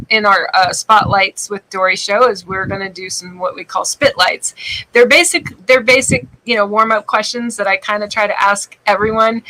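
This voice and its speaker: A woman hosting a casual interview show from her bed on a laptop, excitable and gushing, often stumbling over restarts. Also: on the high side, poor audio. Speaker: talk show host. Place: bedroom.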